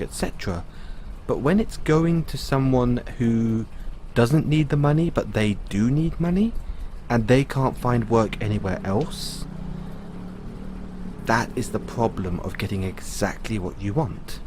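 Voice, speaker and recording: A man playing a thoughtful, kindly owner of an android in an audio roleplay, musing and explaining things to the listener in a measured way. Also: medium pitch, animated, darkish tone, slight background noise, natural voice. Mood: musing, earnest.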